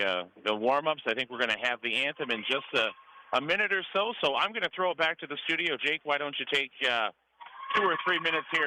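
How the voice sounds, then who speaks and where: A man with an American accent working as a radio sports announcer. His voice is very bright and forceful at an ordinary male pitch, and he talks conversationally, as in a live pregame broadcast. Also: slight background noise, low-quality recording. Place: high school gym.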